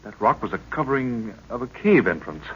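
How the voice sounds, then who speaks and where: An actor playing an alert, respectful young adventurer in an old-time radio serial. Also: male voice, mid-range voice, big pitch swings, a little fast, a bit bright, slightly rough. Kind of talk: 1940s radio serial acting. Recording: dull, noisy old radio recording.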